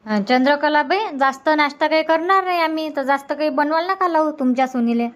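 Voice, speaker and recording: A woman's voice: high voice, fast talker, close to an everyday voice. A woman voicing a homely, practical older village mother in a comedy cartoon, plain-spoken and polite, with a Varhadi Marathi accent. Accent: Varhadi Marathi from Vidarbha.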